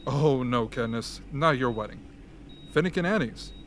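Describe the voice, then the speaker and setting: A man's voice: mid-range voice, animated, normal speed, a little hiss or hum, close to an everyday voice. A man playing a smug, showy propaganda producer in an amateur radio drama, breezy and manipulative. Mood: breezily scheming.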